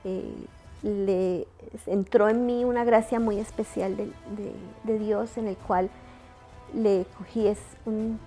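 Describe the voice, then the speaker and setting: Colombian-born grandma giving her testimony, halting, lots of ums, mid pitch, faint music behind. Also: fairly even intonation, dark tone. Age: older. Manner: hesitant, many fillers and restarts. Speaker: testimony speaker. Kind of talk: personal faith testimony.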